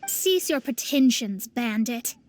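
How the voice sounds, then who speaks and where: Casting: proud young game sorceress, high-pitched, assertive, theatrical and sharp-tongued. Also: female voice, expressive, slow. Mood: haughty, accusing. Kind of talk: scripted video game voice acting.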